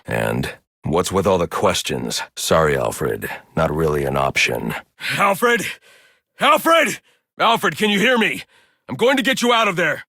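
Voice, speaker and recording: A grim masked crimefighter from a video game. His voice sits extremely low, with a heavy gravel to it, recorded close and dry. He speaks in terse, stern phrases, like a driven detective who allows no argument. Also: a bit bright, no echo, heavily acted. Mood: urgent, alarmed. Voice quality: gravelly, very deep. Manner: terse, stern, clipped delivery. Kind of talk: video game voice lines, scripted hero dialogue.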